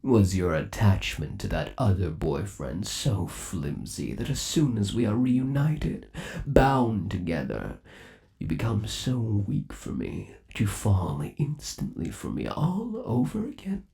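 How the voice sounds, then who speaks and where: A man's voice: fairly low pitch, very animated, slow pace, gravelly, dark tone, exaggerated voice. A man playing an undead animatronic boyfriend in an ASMR roleplay, coaxing and theatrical, lingering on endearments with eerie, possessive devotion. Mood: teasing, possessive. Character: undead animatronic boyfriend, obsessively devoted, eerily tender yandere.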